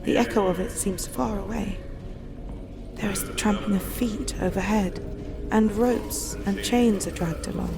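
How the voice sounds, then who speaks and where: Slow, creaky-voiced entranced Victorian lady. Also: medium pitch, over music. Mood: dreamy, entranced. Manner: slow, dreamy, trance-like delivery. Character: entranced Victorian woman, gentle, dreamy, speaking under hypnosis. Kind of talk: audio drama acting.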